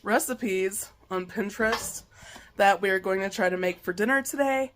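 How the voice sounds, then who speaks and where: A woman's voice: medium pitch, normal speed, a little hiss or hum. A woman, chatting casually while she cooks in her home kitchen, her voice a bit worn from allergies. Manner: chatty, relaxed, with fillers and stumbles. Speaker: cooking vlogger. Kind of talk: casual cooking vlog narration. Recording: kitchen clatter in background. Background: clinking dishes, pots and pans.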